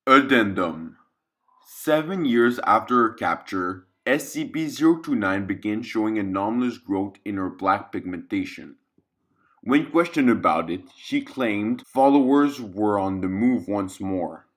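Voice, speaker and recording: A man's voice: on the low side, expressive, unhurried, fairly bright tone. A man working as narrator, reading out a scripted clinical report for an audio log story.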